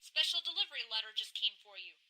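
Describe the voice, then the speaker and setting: An actress playing an eager, persistent newspaper office assistant with a brisk, insistent manner in an old-time radio drama. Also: female voice, a little high, animated, bright, forceful tone, poor audio. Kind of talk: 1950s radio drama dialogue.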